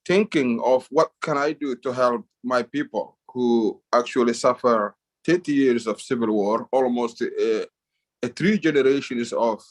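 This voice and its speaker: A man from Somalia speaking as a guest on a group video call, halting, with many fillers and restarts. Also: mid-range voice.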